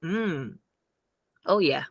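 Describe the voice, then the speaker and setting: A woman guest and former math teacher in a conversational interview, telling animated stories and laughing often. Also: sits low, drawn-out words, slightly rough, no echo, clean studio sound.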